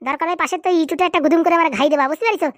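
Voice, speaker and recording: A man's voice: very high-pitched, very fast, fairly bright tone, no echo, clean studio sound. A man voicing a bickering, practical village buddy, bossy and chiding, in a comedy cartoon. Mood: scolding. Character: bickering, practical village buddies planning food and shopping. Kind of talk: comedy cartoon dialect acting.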